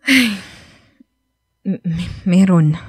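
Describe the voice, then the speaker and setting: A woman's voice: on the low side, expressive, extremely slow, breathy edge, dry recording, clean studio sound, heavily acted. An actress with a Filipino accent playing a reluctant, evasive young woman who hides her feelings, in a radio drama. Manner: hesitant, exasperated, sighing. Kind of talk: Tagalog radio drama acting.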